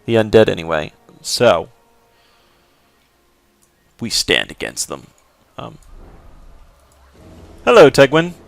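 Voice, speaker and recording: A man casually commenting on his game playthrough, relaxed and rambling with fillers. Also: on the low side, takes it slowly, gravelly, pauses often, soft background music. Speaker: gameplay commentator. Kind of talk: casual let's play commentary.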